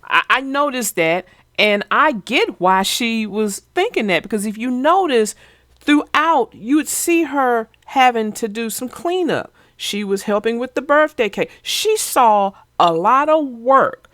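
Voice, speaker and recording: Woman, storybook narrator, measured and gentle, very dry close sound. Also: on the high side, animated, no room sound. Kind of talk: reading a children's book aloud, then casual discussion.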